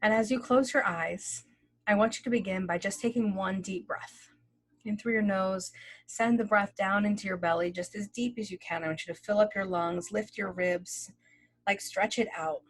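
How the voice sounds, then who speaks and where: A woman leading a guided breathing meditation, speaking slowly and gently with long pauses and casual fillers. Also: mid-range voice, rough recording. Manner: slow, gentle, soothing instructions with long pauses. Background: soft background music.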